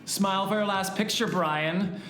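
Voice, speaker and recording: A man's voice: high-pitched, measured pace, fairly bright tone. A man playing a petty, gloating villain in a comic radio play, taunting theatrically with mock-sweet menace. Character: gloating male villain, petty actor obsessed with social media. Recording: roomy echo with music underneath. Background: music.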